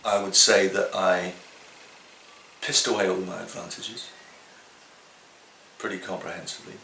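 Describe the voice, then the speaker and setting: A deep, gravelly man in his fifties speaks with wry, self-deprecating humour. He is recorded from a distance in an echoey room with clear background noise. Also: little pitch movement, a little slow, pushed and bright. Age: 50s. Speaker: carpenter. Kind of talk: documentary interview.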